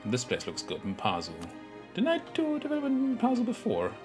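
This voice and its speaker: A man with a cold, his voice a bit hoarse, casually commenting on his strategy game as he plays, thinking aloud with fillers and restarts. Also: very high voice, wildly swooping pitch, fairly quick, music in the background. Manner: muttering thoughts aloud, self-talk with fillers and restarts. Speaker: let's play gaming commentator. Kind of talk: casual live gameplay commentary.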